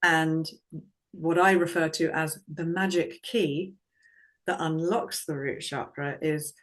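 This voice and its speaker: Slow, low-voiced woman voice coach. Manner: calm, reflective, speaks in measured phrases. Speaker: guest, vocal confidence coach. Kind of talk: conversational interview.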